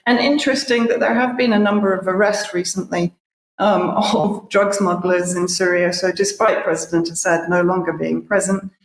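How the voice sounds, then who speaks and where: A woman's voice: fairly low pitch, fairly even intonation, normal speed. A middle-aged woman presenting news commentary from home, reading quotes aloud and adding sceptical asides. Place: home room with bookshelves. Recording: echoey room.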